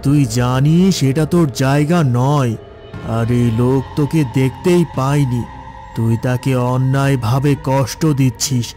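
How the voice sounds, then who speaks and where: A man's voice: mid-range voice, steady tone, unhurried, dark tone, faint music behind, close to an everyday voice. A man voicing a stern, commanding village cleric who drives out spirits, solemn and authoritative, in a horror cartoon. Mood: stern challenge. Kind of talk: dramatic horror cartoon acting.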